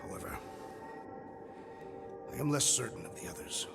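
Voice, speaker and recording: An actor playing a solemn, devout and menacing alien warrior in a video game, with a deep gravelly voice and grave, formal wording. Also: male voice, animated, normal speed, loud music behind the voice. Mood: quietly menacing. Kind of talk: video game cutscene acting.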